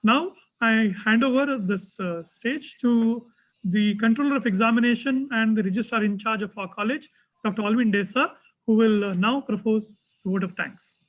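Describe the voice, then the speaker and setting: A webinar moderator, hosting formally and speaking in a halting way as they hand over to the next speaker. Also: medium pitch, low-quality recording.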